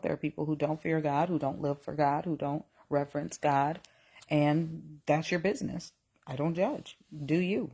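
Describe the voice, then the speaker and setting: A woman in her forties with a low voice hosts a live talk. She speaks slowly, circling back and repeating herself, and now and then reads out viewer comments. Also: a little mellow. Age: 40s. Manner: reads chat aloud, exclaims in mock outrage; slow, repetitive, restarts often. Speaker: live stream host. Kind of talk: casual live talk on relationships and faith.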